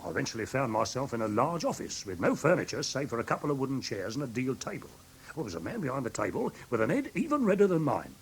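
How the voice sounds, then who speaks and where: An actor playing a flustered, credulous English pawnbroker in a British radio drama, hesitant and rambling as he tells his story, with a husky, rough voice. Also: medium pitch, very animated, fast, heavily acted, low-quality recording. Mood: animated recounting. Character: flustered, credulous red-haired male London pawnbroker, excitable storyteller.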